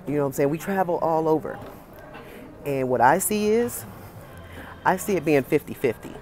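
An American woman in her forties talking casually and emphatically to camera as a fitness vlogger, pausing between phrases. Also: a bass voice, a little mellow. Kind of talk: casual opinionated talk to camera. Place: indoor gym with high ceiling.

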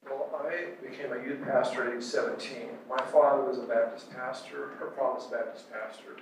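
An older man, a pastor and counselor, telling his story on a church panel in a soft voice, on a handheld mic. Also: medium pitch, steady tone, brisk, creaky, a little hiss or hum. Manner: soft-spoken. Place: church stage, large room. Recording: echoey hall, heard from far off.

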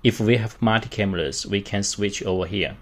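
A man giving an unscripted product walkthrough in halting, short phrases with non-native English grammar. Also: lowish voice, steady tone. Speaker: product demonstrator.

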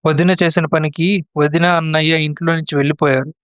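A man's voice: on the high side, flat delivery, brisk, fairly bright tone, clear, resonant tone, dry recording, close to an everyday voice. A man playing a hot-headed, resentful younger son in a family story, in slightly muffled sound. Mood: aggrieved. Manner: aggrieved, confrontational.

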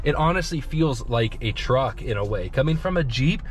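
A man's voice: medium pitch, lively intonation, normal speed. A man in his thirties vlogging while driving, chatting in a rambling way and getting tired and loopy. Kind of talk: casual driving vlog. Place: inside a moving car. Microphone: dash camera in the car. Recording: loud road noise in a car. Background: loud road and engine noise.

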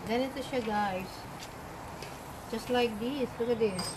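A woman from the Philippines with a Filipino accent hosts a home cooking video in her back garden. She chats loosely and mixes English with Tagalog. The camera mic picks her up from a few feet away, with outdoor noise clearly heard behind her. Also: a little high, a little slow. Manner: chatty, switches between English and Tagalog. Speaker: cooking vlog host. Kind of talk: casual cooking demo.

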